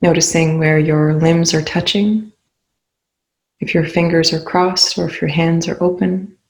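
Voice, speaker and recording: A meditation guide speaks very slowly in an even, level tone with noticeable creak at the edges. The delivery is soothing and unhurried, leaving long pauses between short phrases. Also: medium pitch.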